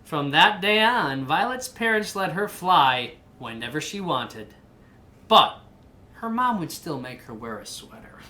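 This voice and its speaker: Bright, expressive woman reading to children. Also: low voice, measured pace. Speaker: storybook reader. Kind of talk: animated children's read-aloud.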